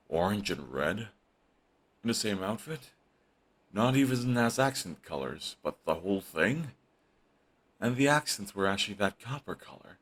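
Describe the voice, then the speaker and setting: A man playing a husky, sarcastic villain. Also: deep voice, fairly bright tone, heavily acted. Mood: mocking. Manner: sarcastic, dismissive. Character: snarky, grumpy male supervillain, middle-aged. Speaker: voice actor. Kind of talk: audio roleplay drama.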